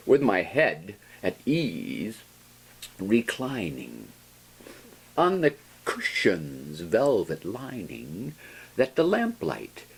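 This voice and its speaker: A man with a gravelly, husky voice recites poetry in a demented, overwrought theatrical style. His pitch swings wildly across a broad range, with every phrase heavily dramatized. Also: huge pitch swings, drawn-out words, pauses often, low-quality recording. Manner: overwrought, melodramatic, swinging from whispers to shrieks.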